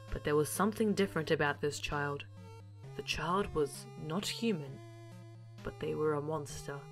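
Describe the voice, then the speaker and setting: A woman's voice: deep voice, a bit bright, poor audio. A woman delivering scripted narration as the narrator of an audio series, in a formal storytelling manner.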